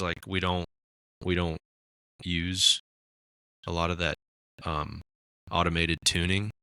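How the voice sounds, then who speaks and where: A raspy-voiced man in casual podcast chat, talking about his music with lots of fillers and restarts. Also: low-pitched, bright, forceful tone, dry recording. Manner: rambling, many fillers and restarts. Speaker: musician guest.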